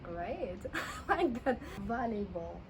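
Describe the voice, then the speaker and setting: A woman teacher with a Russian accent giving a friendly vocabulary lesson, slowly repeating words and laughing often. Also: mid-range voice, big pitch swings, a little hiss or hum, low-quality recording.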